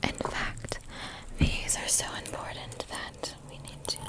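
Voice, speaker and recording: Slowly whispering kindly witch shopkeeper played by a woman. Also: close to an everyday voice. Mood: soothing, whimsical. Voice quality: breathy whisper. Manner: gentle, unhurried, repeating phrases hypnotically. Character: kindly whimsical witch shopkeeper selling brews and candy. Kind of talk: whispered ASMR roleplay.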